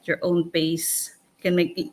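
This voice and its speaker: Lower-pitched Filipina-woman, halting delivery. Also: completely flat delivery. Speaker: co-host and panelist. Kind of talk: casual livestream talk.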